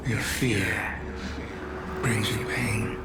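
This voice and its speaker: An actor playing a cold, godlike alien villain in a video game, solemn, deliberate and menacing. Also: male voice, fairly low pitch, steady tone, very noisy. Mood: cold menace. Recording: very loud music under voice.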